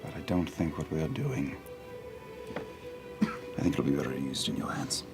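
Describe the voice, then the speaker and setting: A man voicing a soft-spoken, weary, kindly wizard with a German accent and a halting, measured delivery in tabletop roleplay. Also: a bass voice, expressive, creaky, heavily acted. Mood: solemn, gentle. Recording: music playing behind the voice.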